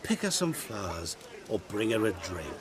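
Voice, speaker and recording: An actor playing a sly, smooth-talking devilish stranger with a silky, mocking and menacing manner. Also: male voice, lowish voice, very animated, normal speed, crowd noise. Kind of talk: video game cutscene acting.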